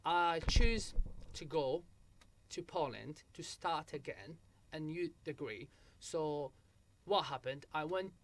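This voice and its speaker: A man's voice: on the high side, expressive, takes it slowly, fairly bright tone, slight background noise. A young man from Algeria, a guest in a casual interview, telling his story with frequent restarts and a repeated "so what happened?".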